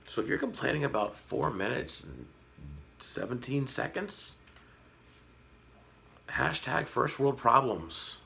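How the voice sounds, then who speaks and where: A man in his thirties with a slightly hoarse voice, talking frankly and earnestly to his viewers in a casual vlog, with a light sarcastic edge. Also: mid-range voice, very animated, slow pace, pauses often, pushed and bright, a little hiss or hum. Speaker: fish-keeping vlogger. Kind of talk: casual talk to camera.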